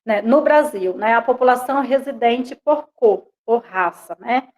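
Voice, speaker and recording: A woman's voice: fairly high pitch, expressive, measured pace, darkish tone. A woman teacher with a Bahian accent, lecturing an online class in a conversational way, dropping frequent tag questions, over a video call. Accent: Bahian Portuguese. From Bahia, Brazil.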